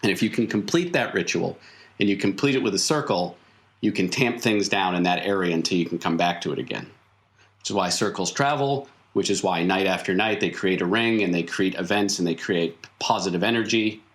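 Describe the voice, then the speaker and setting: A man playing a weary, mysterious circus veteran in tabletop roleplay, explaining things patiently and haltingly. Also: deep voice. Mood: explanatory. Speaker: game master. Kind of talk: improvised in-character tabletop roleplay.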